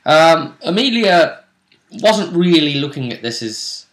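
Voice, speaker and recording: A man's voice: fairly high pitch, big pitch swings, takes it slowly, echoey room, poor audio. A man hosting a tabletop role-play show, talking through events in casual recap commentary. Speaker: host of a tabletop role-play tournament vidcast.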